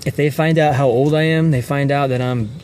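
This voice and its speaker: A man's voice: medium pitch, steady tone, a little fast, darkish tone, soft background music. Rambling man in his forties, car vlog. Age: 40s. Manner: rambling, repeats words and restarts often. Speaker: fitness vlogger. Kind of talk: casual talk to camera. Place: inside a car at night.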